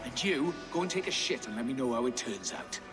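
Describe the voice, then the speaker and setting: A man playing a vain, greedy, gruff royal advisor in a video game, pompous and self-important. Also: mid-range voice, lively intonation, fairly quick, lightly acted. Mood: greedy, crude. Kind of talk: video game cutscene acting. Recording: reverberant hall under prominent music.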